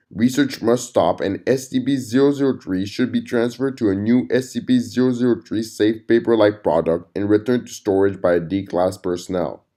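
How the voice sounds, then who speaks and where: A man's voice: mid-range voice, warm tone. A man giving a scripted narration of a formal document in a clinical manner.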